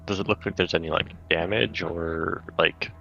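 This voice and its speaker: A man's voice: sits low, steady tone, normal speed, gravelly. A man chatting casually as a player in a tabletop game, hesitant in his phrasing. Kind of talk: casual chat. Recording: dull recording with chatter behind.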